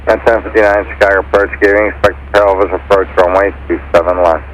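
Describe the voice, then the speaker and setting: Male controller, deep and rapid, businesslike clipped instructions over lightly hissy air traffic radio. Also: little pitch movement. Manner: procedural, businesslike. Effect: aviation radio.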